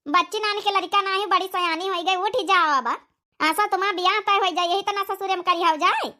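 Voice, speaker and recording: A woman's voice: very high-pitched, steady tone, fairly quick, pushed and bright. A woman voicing a scolding village mother in a cartoon comedy, with an Awadhi accent and a nagging, repetitive way of talking. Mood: coaxing, impatient. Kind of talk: cartoon comedy dialect acting.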